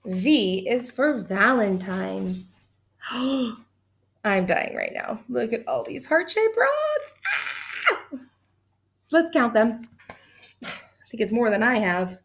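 Teacher, woman in her thirties, slightly higher voice, animated storytime delivery for kids, webcam sound. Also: slow, vocal fry, long pauses. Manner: animated, gushing, talks directly to children. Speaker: teacher reading aloud. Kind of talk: children's read-aloud. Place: small indoor room at home. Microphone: webcam at desk distance.

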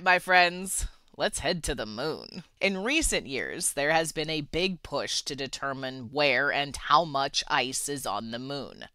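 A woman's voice: low-pitched, pushed and bright, dry recording. A woman hosting a tech podcast, upbeat and enthusiastic as she interviews guests and reads news. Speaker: podcast host.

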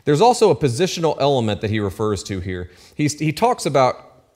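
A pastor in his thirties preaching from a pulpit in a church. He explains scripture in a relaxed, conversational way. He adds small asides and checks that the listeners are keeping up. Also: mid-range voice, fairly quick. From United States. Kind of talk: sermon. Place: church stage with pulpit, indoors. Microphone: lectern mic at pulpit.

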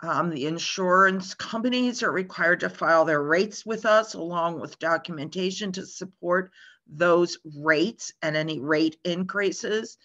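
A middle-aged woman answering a question on a video call from a room at home, measured and informative with hesitant ums. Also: fairly low pitch, fairly bright tone. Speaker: insurance regulator answering a question. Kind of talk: webinar Q&A answer. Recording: dull video call audio.

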